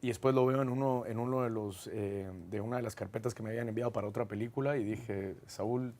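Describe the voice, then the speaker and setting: A man's voice: mid-range voice, steady tone, quick pace, warm tone. A man from Guatemala with a Guatemalan accent, a film director answering interview questions in an earnest, reflective way with frequent hesitations. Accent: Guatemalan Spanish.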